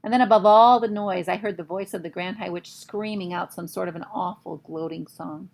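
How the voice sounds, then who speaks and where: A woman reading a children's novel aloud in expressive storytelling narration. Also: on the low side, fairly quick, vocal fry. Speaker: storybook reader.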